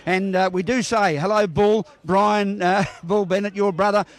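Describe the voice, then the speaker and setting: A man's voice: sits high, fairly even intonation, moderate pace. A man reporting on bowls, interviewing club members outdoors at a bowling green in a jovial, teasing way.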